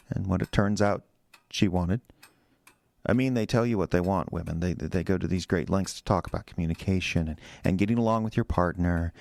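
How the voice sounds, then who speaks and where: An American man acting in a radio drama as an anxious, defensive husband who speaks haltingly and repeats his words. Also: deep voice, vocal fry, darkish tone, dry recording.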